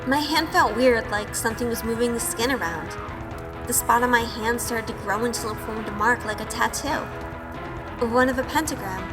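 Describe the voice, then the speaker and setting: A woman's voice: a little high, moderate pace, big-room sound, lightly acted. A woman playing a timid, sheltered young woman in an audio drama, hesitant and anxious. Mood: nervous, shaken. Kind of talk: audio drama acting and first-person narration. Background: music.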